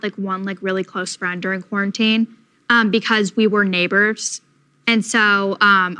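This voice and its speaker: A woman's voice: mid-range voice, bright, forceful tone, clean tone. A teenage girl chatting as a panel guest, bubbly and chatty with lots of fillers. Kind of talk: casual chat.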